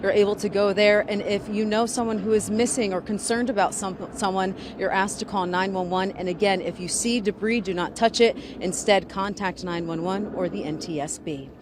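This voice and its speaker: A woman's voice: mid-range voice, fairly even intonation, moderate pace, hardly any pauses. A woman with an American accent giving a scripted live field news report as a reporter. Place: outdoors, live from a city street. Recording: outdoor location with clear background noise.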